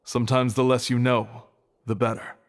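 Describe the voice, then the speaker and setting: A man plays a serious scholar who keeps an academy's archive in a video game. He is reserved and measured, with a medium-pitched voice and a matter-of-fact delivery. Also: little pitch movement, a little hiss or hum, lightly acted. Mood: guarded.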